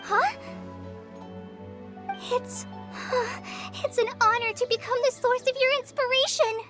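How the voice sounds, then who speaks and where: A woman's voice: very high-pitched, steady tone, slow pace. A woman playing a modest young girl, a trainee forest ranger in a video game, polite and bashful. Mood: flustered. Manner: polite, bashful, slightly hesitant. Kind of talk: video game character acting. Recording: music behind the voice.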